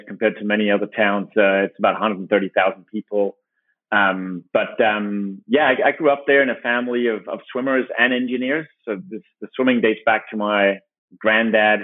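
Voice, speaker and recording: A man's voice: fairly low pitch, dry recording. Danish-born man on a tinny line. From Denmark. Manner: thoughtful, halting, with frequent restarts. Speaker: guest, company founder. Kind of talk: relaxed interview answers. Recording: dry, tinny phone-like line.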